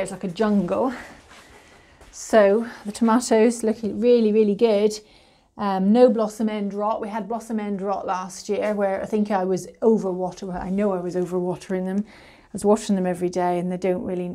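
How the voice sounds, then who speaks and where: Woman, 30s, home gardener, casual tour; mellow, low-key voice, little pitch movement, hesitant, recorded outdoors. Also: no echo. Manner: chatty, hesitant, with frequent fillers. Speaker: gardener showing her garden.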